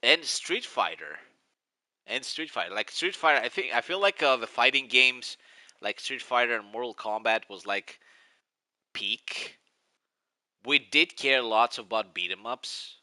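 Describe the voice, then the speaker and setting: Greek man with a sharp, dry voice. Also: medium pitch, animated, unhurried, no room sound. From Greece. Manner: rambling, nostalgic, restarts often. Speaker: live streamer. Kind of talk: casual live-stream chat.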